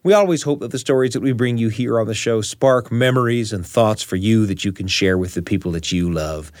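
A man's voice: lowish voice, fairly quick, darkish tone, no echo, clean studio sound. A man hosting a radio show, narrating like a storyteller with dramatic, emphatic phrasing.

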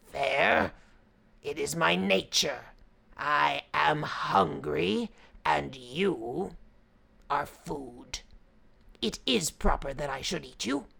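A woman voicing a fierce, hungry, deceitful male tiger with a snarling, drawn-out menace, in a folk tale. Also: low voice, animated, vocal fry, a crowd in the background, heavily acted. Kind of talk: oral folk tale storytelling.

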